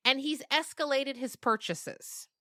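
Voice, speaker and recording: A woman's voice: sits high, a little slow, bright, forceful tone, no room sound, clean studio sound. A woman chatting and telling anecdotes in a dramatic, emphatic conversational style. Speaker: podcast guest, children's writer. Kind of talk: animated conversational storytelling.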